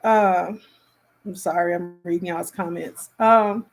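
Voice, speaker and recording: A woman hosting a casual reaction commentary, speaking in an appalled, emphatic and halting way. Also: lowish voice, steady tone, faint music behind.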